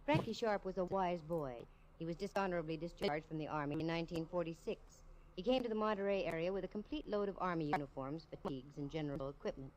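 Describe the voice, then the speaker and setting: A woman's voice: fairly low pitch, fast, a little mellow, close to an everyday voice. A woman playing a wisecracking private detective, narrating in breezy, slangy 1950s radio-drama style. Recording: noisy old radio recording.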